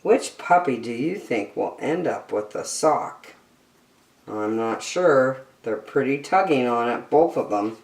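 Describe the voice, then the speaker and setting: A woman's voice: extremely low pitch, animated, a little slow, a little mellow, reverberant. A woman hosting story time, reading a picture book aloud to young children expressively, with chatty asides and questions.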